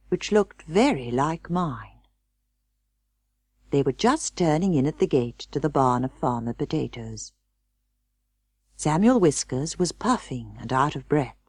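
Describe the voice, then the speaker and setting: British female audiobook narrator, children's classic. Slow, measured, expressive, very dry close recording. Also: low-pitched, dry recording. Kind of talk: scripted children's storybook narration.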